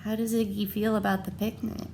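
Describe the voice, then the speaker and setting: A woman reading a picture book aloud to children, with expressive, dramatic and deliberate phrasing. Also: mid-range voice, gravelly, noisy background. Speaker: storybook reader for children. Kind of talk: expressive picture-book read-aloud with questions to young listeners.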